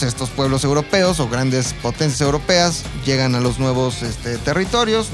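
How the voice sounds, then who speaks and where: A man with a Mexican accent telling history as casual gossip, explaining informally with slang and imagined quotes. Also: fairly high pitch. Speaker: podcast co-host telling history. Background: background music.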